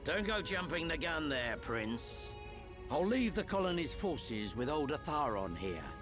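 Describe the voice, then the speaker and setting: An actor playing a gruff, roguish middle-aged veteran soldier in a game, with a British accent and a colloquial, teasing way of talking. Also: male voice, fairly high pitch, very animated, measured pace, over music. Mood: brisk, dismissive. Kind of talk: video game cutscene acting.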